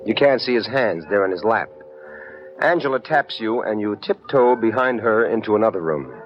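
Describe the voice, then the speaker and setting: A man's voice: lowish voice, animated, normal speed, faint music behind, lightly acted. A man playing a wry, businesslike hard-boiled detective in an old-time radio drama, at times narrating his own case. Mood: wry, intent. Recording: dull vintage radio recording.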